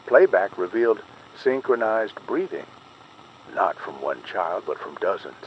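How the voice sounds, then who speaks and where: Man, low voice, ominous official narrator, analog horror advisory, deliberate, dull lo-fi sound with faint noise. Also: very animated. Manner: grave, ominous, deliberate official announcer delivery.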